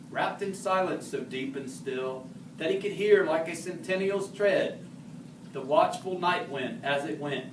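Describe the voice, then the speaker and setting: A man reciting a narrative poem as an amateur reader, occasionally stumbling over harder words and correcting himself. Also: on the high side. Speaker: amateur reader in the role of a historical horseman. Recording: roomy, distant mic, faint background noise.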